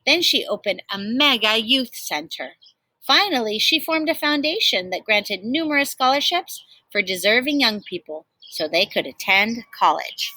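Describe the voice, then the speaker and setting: A woman's voice: a little high, very animated, moderate pace, bright, forceful tone, poor audio. A woman reading a children's storybook aloud expressively, like a teacher, with emphatic stresses and chatty asides.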